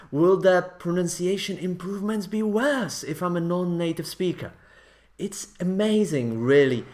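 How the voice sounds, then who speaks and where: A man in his thirties whose first language is not English, hosting a teaching video from his living room and talking earnestly and reassuringly to the camera. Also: fairly high pitch. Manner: earnest, reassuring, gestures with hands. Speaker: host. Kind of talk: scripted video presenting.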